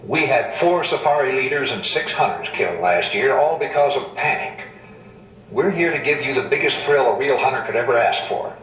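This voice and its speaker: A man's voice: medium pitch, expressive, brisk, natural voice. A man acting in a radio drama as a blunt, no-nonsense, seasoned safari guide. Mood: stern. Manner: emphatic, no-nonsense. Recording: roomy, muffled, distant playback from radio set.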